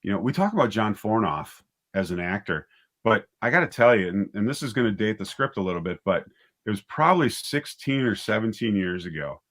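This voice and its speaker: Low-voiced man in casual interview chat. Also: big pitch swings, brisk, fairly bright tone. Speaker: guest, audio drama writer and director.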